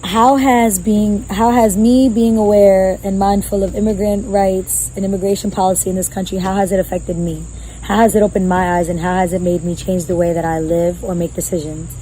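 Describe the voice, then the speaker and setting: A young woman guest on a podcast, talking loudly and casually with lots of slang and "you know what I'm saying.". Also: mid-range voice, flat delivery, normal speed, slight background noise, poor audio. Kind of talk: casual conversational interview. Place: outdoor gazebo with crickets.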